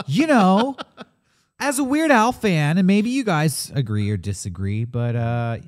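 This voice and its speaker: A man co-hosting a casual movie podcast, rambling and interrupting himself in a playful way. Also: a little high. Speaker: podcast co-host.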